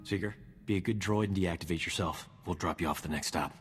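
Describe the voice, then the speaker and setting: A lowish male voice from an actor as a cocky, wisecracking space doctor in a game. He is breezy and flirtatious, forever pleased with himself. Music plays clearly behind him. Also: quick pace, close to an everyday voice. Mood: playful, smug. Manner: breezy, self-satisfied, joking. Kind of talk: scripted video game acting.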